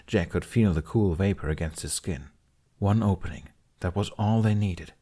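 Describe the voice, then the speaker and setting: A man reading a fan fiction story aloud with steady, expressive storytelling. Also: sits low, normal speed. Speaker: podfic reader. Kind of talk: fanfiction audiobook narration.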